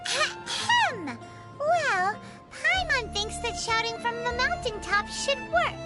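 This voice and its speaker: A woman voicing a tiny, childlike, excitable fairy companion in scripted game dialogue, with a squeaky, exclamatory delivery. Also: takes it slowly, over loud music, full character voice. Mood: bossy. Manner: exclamatory, refers to self in third person.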